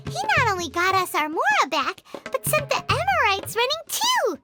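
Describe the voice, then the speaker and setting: A woman voicing a tiny, childlike, excitable fairy companion in a video game, bubbly, squeaky and eager. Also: normal speed, heavily acted. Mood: excited. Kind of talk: scripted video game cutscene acting. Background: background music.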